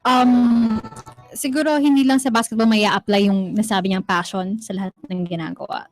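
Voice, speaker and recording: A woman's voice: medium pitch, fairly even intonation, fairly quick, no room sound. A woman, chatting casually on air as a radio co-host, hesitant and switching between languages. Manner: hesitant, mixing two languages.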